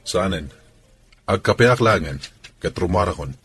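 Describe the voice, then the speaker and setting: A brusque, dismissive husband in a radio drama. His voice sits fairly low and he sounds irritable and curt, over some background noise. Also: lively intonation, a little slow, a bit bright.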